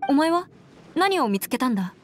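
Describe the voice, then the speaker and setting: A woman's voice: high-pitched, sing-song, fairly quick, faint music behind. A woman voicing a clever, businesslike young female consultant in an anime game, with matter-of-fact, precise, formal wording. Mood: curious, assured. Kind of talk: Japanese anime game voice acting.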